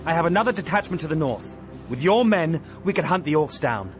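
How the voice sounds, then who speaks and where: A stubborn, dutiful fantasy commander, acted by a man, in a slightly high male voice. He talks plainly and firmly, in video game drama style, over prominent music. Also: lively intonation, a little fast, natural voice. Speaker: army commander. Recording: music behind the voice.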